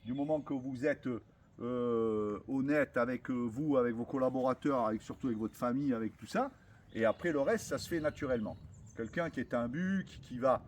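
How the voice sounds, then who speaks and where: A man's voice: medium pitch, moderate pace. A middle-aged man, a former navy commando, giving a blunt motivational talk to a group, full of restarts and rhetorical questions.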